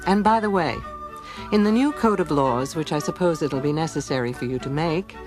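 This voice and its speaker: A poised eighteenth-century wife played by an actress reads her letter aloud for a history documentary. Her voice is low and measured, earnest and genteel, with music playing behind it. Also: close to an everyday voice. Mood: earnest, gently firm. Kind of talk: dramatized historical letter reading.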